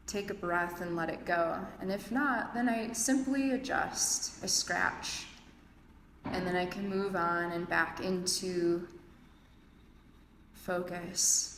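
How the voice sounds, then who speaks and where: Female meditation guide, calm and very unhurried, measured phrasing, live in an echoey studio with faint noise. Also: medium pitch. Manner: calm, measured, with long pauses between phrases. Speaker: yoga nidra instructor. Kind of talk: guided meditation, spoken instructions. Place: indoor urban yoga studio, recorded live. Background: faint ambient room and city noise.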